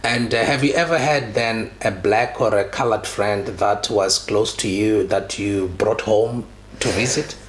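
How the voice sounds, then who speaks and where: A man's voice: fairly low pitch, measured pace, a little hiss or hum. A man hosting an interview podcast, asking questions hesitantly with many "uh"s and repeated words. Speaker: podcast host. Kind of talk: conversational interview.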